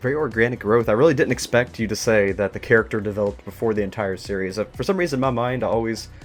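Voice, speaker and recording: A man's voice: lowish voice, fast talker. A man hosting a casual interview, musing aloud and feeling his way tentatively through his questions. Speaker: interview show host. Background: music.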